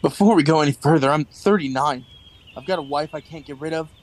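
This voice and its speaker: A man's voice: a little high, fast, natural voice. An actor playing a nervous, weary man of thirty-nine in a radio play, hesitant and earnest. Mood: earnest, guarded. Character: nervous, weary thirty-nine-year-old rebel clerk.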